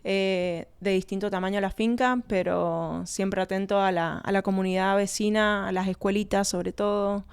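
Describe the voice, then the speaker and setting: Clear-voiced Argentine woman in an interview. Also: mid-range voice, steady tone, moderate pace, hardly any pauses. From Argentina, born in Colombia. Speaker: winery research and communication manager, interview guest. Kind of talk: conversational interview answers.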